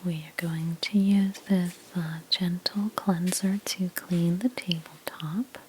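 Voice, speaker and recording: A woman speaking in a soft, breathy near-whisper, gently explaining each step in an ASMR roleplay narration. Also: on the low side, steady tone, slow pace, a little hiss or hum, low-quality recording. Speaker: ASMR creator playing a house cleaner.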